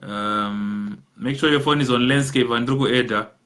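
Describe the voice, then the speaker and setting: A man's voice: mid-range voice, normal speed, fairly bright tone. A man with a Zimbabwean accent hosting a live call-in show, reading out viewer comments and mixing English with Shona. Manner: reads out comment names, switches between English and Shona.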